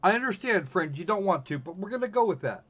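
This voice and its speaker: A man's voice: high-pitched, very animated, quick pace, fairly bright tone, slightly rough, highs cut off. A man casually commenting live on a visual novel as a game streamer, with wry, rambling, sweary talk and some text read aloud.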